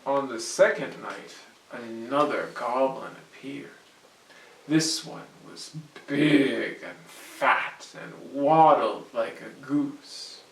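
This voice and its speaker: A storyteller reading a picture book aloud to children in an animated, explanatory way. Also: medium pitch, slow, a little hiss or hum. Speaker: storyteller, host. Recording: echoey room, distant mic.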